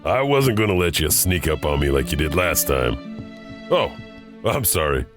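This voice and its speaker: Casting: eerie old-timey janitor narrator, low and lilting. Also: male voice, very animated, moderate pace. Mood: eerie chatty. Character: creepy old hotel janitor host, chatty, eerie. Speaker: host narrator. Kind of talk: audio drama narration. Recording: music bed under voice.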